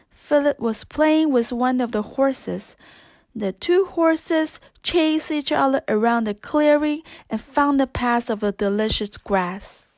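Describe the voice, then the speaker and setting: A woman reading a children's read-along storybook as narrator, in an expressive storytelling manner. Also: sits high, dry recording.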